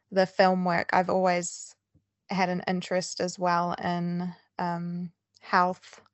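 A woman's voice: fairly low pitch, no room sound. A woman with a New Zealand accent, a filmmaker speaking as a podcast guest, earnest and thinking aloud with many ums and restarts. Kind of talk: podcast interview.

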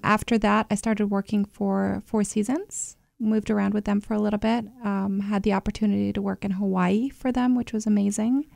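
A woman with a gravelly, fry-laden voice gives conversational interview answers. Her pitch stays quite flat, and the recording is close and dry as she recalls her own experiences. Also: normal speed, few pauses, dry recording. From born in Switzerland, moved to New York. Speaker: interview guest, hotel general manager.

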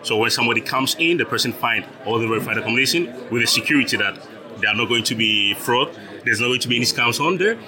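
A man's voice: medium pitch, lively intonation, fast, a crowd in the background. A young man from Ghana, a startup founder, explaining his business as an interview guest, patient, with frequent laughs and repeated words.

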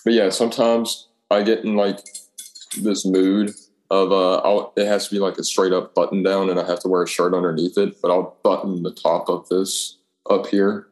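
Male, low register, slangy and sweary storyteller who plays out both sides of conversations, roomy echo. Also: flat delivery, moderate pace, a little mellow. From Alabama, United States. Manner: profane, slangy, acts out dialogue. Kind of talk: casual chat, telling rambling anecdotes. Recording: echoey room.

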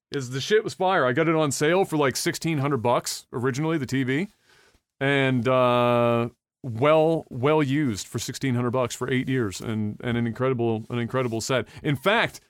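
A young man hosting a podcast, talking loosely and profanely with plenty of ums and self-corrections. His voice is smooth and resonant, at an ordinary pitch. The sound is dry and close. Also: no room sound. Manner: rambling, profane, frequent fillers and restarts. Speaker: podcast host. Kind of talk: casual podcast chat.

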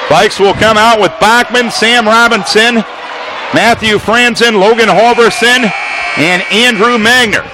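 High-pitched guy calling hoops on the radio, quick excited calls, noisy gym behind him. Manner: rapid, excited calls that rise to shouts on big plays. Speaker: play-by-play announcer. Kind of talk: radio basketball play-by-play. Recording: live gym broadcast with crowd noise.

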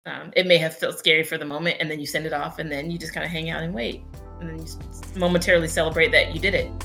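Woman podcast co-host, young adult, candid, reflective, fillers and restarts, bright tone, lowish voice. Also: level tone, fast talker, vocal fry, soft background music. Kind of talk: casual conversational podcast.

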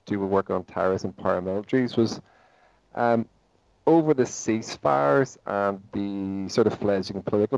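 A man's voice: fairly low pitch, vocal fry, darkish tone, dry recording, lo-fi sound. A Northern Irish man in his forties, a professor answering interview questions in a thoughtful way, often restarting his sentences. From Northern Ireland. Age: 40s. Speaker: guest, psychology professor.

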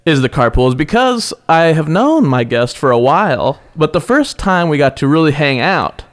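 A man's voice: a little high, very animated, moderate pace. A man hosting his own podcast from home, upbeat and rambling, laughing often as he chats with a guest. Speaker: podcast host. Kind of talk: casual interview chat.

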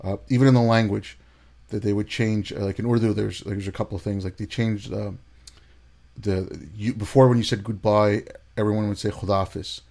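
A man who grew up in India, talking casually and telling stories in an animated way, with many restarts. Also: lowish voice, moderate pace, vocal fry. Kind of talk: casual conversation.

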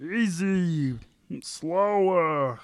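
A man acts out a defensive, whiny husband in a sitcom table read. He speaks slowly, in a dry recording with little room sound. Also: high voice, clear, resonant tone, dry recording. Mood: achy, grumbling.

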